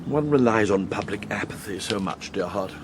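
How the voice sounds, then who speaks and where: An actor playing an urbane intelligence agent in a radio drama, languid, arch and plummy, with an upper-class English accent. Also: male voice, lowish voice, animated, moderate pace, background noise, close to an everyday voice. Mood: arch, bored.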